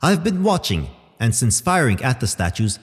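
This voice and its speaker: A husky, echoing AI, Gal. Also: male voice, mid-range voice, big pitch swings. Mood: measured, formal. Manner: measured, formal, precise reporting. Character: Gal, calm obliging male artificial intelligence. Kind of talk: scripted sci-fi audio drama. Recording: long reverberant tail.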